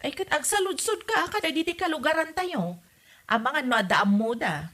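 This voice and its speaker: Sharp, high-voiced nagging Filipino mother, acted by a woman. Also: animated, a little fast. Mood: prying, motherly. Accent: Ilocano Filipino. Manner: scolding, questioning. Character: nagging, suspicious middle-aged mother. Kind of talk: Ilocano comedy audio drama acting.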